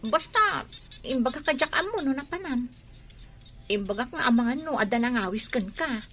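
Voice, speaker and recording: An actress playing a blunt, scolding young friend in a radio drama, with an Ilocano accent. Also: female voice, high-pitched, expressive, normal speed, fairly bright tone, slightly rough, exaggerated voice. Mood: disapproving. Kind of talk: Filipino radio drama acting.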